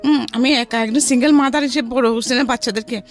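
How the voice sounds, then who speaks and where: A woman's voice: a little high, fairly even intonation, fast talker. A middle-aged woman with a Bangladeshi accent telling her life story in a conversational way, mixing in some English words. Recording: music behind the voice.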